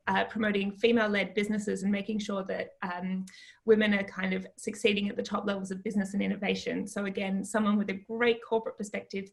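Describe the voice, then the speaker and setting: Female event host, medium-pitched and gravelly with creak, informal introductions on a video call. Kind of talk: informal spoken introductions at an online business session.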